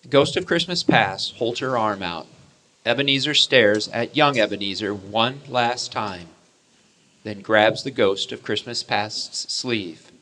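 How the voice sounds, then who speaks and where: A man's voice: on the low side, a little slow, sharp tone. A man giving scripted narration for a radio play.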